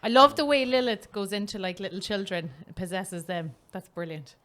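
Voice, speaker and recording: A middle-aged Irish woman trading giggly, gossipy banter as a podcast co-host. Her voice sits in an ordinary middle pitch, loose and chatty. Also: moderate pace, a bit bright. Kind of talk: casual chat.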